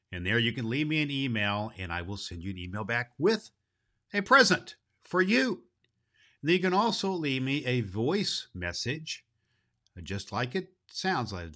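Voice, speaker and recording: Male performer as grandfatherly Christmas gift-bringer, jolly and hearty; forceful bright voice, swooping intonation, deliberate storyteller phrasing for kids. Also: lowish voice, heavily acted. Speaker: host of a children's Christmas story show. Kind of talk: hearty in-character greeting and storytelling for children.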